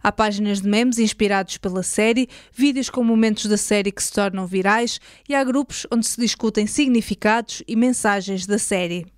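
A woman's voice: medium pitch, moderate pace, hardly any pauses, clear, resonant tone, no room sound, studio quality. A woman with a European Portuguese accent reading scripted journalistic narration as a podcast host.

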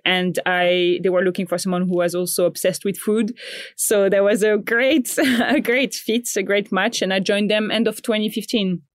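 A woman's voice: medium pitch, level tone, moderate pace, no room sound. Woman, 30s, raised in Morocco, easy mid-range voice, reflective and self-correcting, close and dry. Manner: thoughtful, frequent restarts and fillers. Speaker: founder and guest. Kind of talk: casual interview chat.

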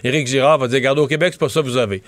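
A man's voice: medium pitch, steady tone, rapid-fire, fairly bright tone, no room sound. A middle-aged man with a Québécois French accent, giving rambling political analysis in a casual chat and often restarting his sentences. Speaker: political commentator.

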